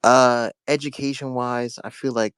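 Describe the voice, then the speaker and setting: A man calling in to a talk show, who speaks in a calm, measured and slightly hesitant way. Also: medium pitch, smooth, no room sound, studio quality. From United States. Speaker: caller. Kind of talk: phone-in conversation.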